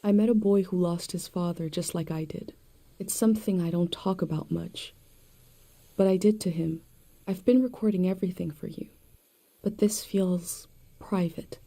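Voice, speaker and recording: A woman narrating a game character's reflective journal entries in a quiet, introspective manner. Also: lowish voice, normal speed.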